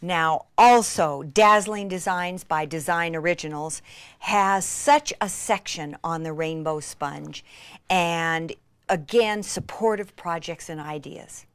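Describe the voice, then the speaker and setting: An excitable woman presents a craft demonstration with gushing enthusiasm. She takes her time over the words, dropping into breathy, near-whispered emphasis. The sound is dry, with little room around the voice. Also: on the low side, takes it slowly, dry recording.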